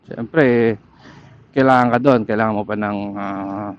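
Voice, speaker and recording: A man with a Filipino accent vlogging casually while riding a motorcycle, pausing often to search for words, speaking into a helmet intercom mic. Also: on the low side, fairly even intonation, smooth, muffled recording. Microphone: helmet intercom headset.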